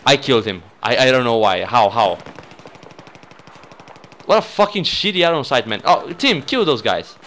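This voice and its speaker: A young man from Brazil with a Brazilian accent, a native Portuguese speaker, giving excitable, sweary, casual commentary as host of a gaming video. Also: medium pitch, moderate pace, long pauses, slight background noise.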